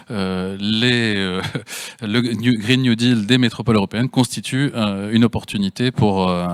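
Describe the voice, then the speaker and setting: Man, panelist, low voice, formal and structured, dry sound. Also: no room sound. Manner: structured and formal, with frequent restarts. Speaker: panelist, agency department head. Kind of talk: panel discussion.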